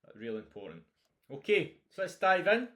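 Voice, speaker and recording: A man's voice: on the high side, very animated, fairly quick, fairly bright tone. A Scottish man, a nutrition coach, talking informally through a recorded course introduction with many fillers and restarts.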